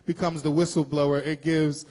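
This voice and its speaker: A man's voice: a little high, little pitch movement, normal speed, mellow. A man hosting an interview, asking long questions and affirming his guest in an encouraging way. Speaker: interview host. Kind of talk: casual interview talk.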